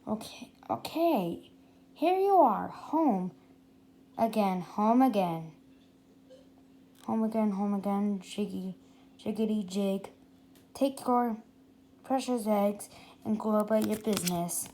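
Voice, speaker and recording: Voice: medium pitch, slow, pauses often, warm tone. A storybook reader voicing a smug boy inventor who is proud of himself and repeats his phrases. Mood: proud, breezy.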